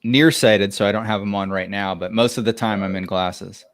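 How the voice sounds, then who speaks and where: Man, moderately low pitch, casual co-host, informal, halting, self-deprecating. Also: steady tone. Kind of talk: casual chat.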